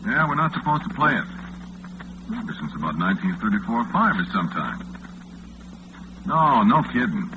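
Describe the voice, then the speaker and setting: Tinny old-radio American night deejay. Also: male voice, on the low side, sing-song, normal speed, pauses often. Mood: friendly, obliging. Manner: folksy, hesitant, chatty. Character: lonesome, affable late-night radio disc jockey. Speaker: actor in a radio drama. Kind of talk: 1940s radio acting, one-sided phone chatter. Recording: muffled, noisy 1940s radio recording, phone-like band.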